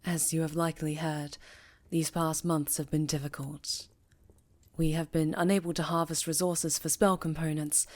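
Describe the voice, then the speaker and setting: Woman playing a low-voiced, imperious high enchantress. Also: no room sound, lightly acted. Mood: weary, apologetic. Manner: formal, imperious, scolding. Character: stern, exacting high enchantress witch, mentor to pupil. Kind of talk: fantasy ASMR roleplay acting.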